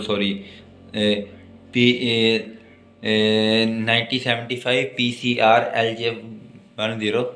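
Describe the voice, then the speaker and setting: Male lecturer, Pakistani accent, slow delivery, informal reading and explaining, roomy echoing sound. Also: medium pitch, fairly bright tone. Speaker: law lecturer. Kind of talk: lecture, reading English notes aloud and explaining them. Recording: echoey, roomy voice recording.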